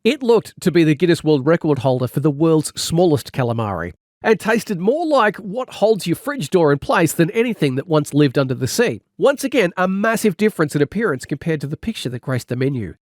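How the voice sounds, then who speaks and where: A man's voice: a little high, big pitch swings, brisk, a little mellow, dry recording, studio quality. A man reading a comic first-person audiobook as a wry, exaggerating storyteller. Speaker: audiobook narrator. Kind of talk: comedic scripted narration.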